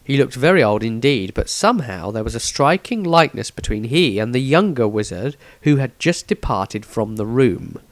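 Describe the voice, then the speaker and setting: A man's voice: medium pitch, animated, normal speed, hardly any pauses, no room sound. A man reading a scripted fantasy story as its narrator, in a dramatic storytelling style. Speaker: author and narrator.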